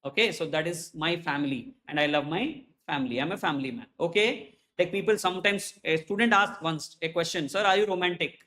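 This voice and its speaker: A fairly high-voiced Indian man with an Indian English accent introduces himself to his class as an accountancy teacher. His talk is chatty and direct, and he keeps tagging his sentences with "okay?" and "correct?". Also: brisk, hardly any pauses, bright, forceful tone. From India, Hyderabad. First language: Hindi. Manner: chatty, checks in with "okay?" constantly.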